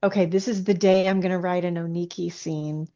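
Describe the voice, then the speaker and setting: A woman's voice: lowish voice, steady tone, a little fast, warm tone, dull audio. A woman speaking as an interviewed author, chatting informally and telling stories with frequent asides. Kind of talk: casual conversational interview.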